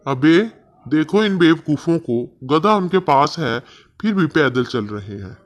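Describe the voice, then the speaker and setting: A man's voice: on the high side, expressive, unhurried. A man voicing scornful grown village men in a children's storybook, mocking and scolding. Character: scornful adult male villagers. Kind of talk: storybook voice acting.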